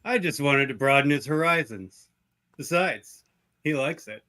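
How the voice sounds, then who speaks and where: Bright-voiced starship first officer played by a man. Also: on the high side, expressive, measured pace. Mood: easygoing. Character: easygoing, jazz-loving male first officer.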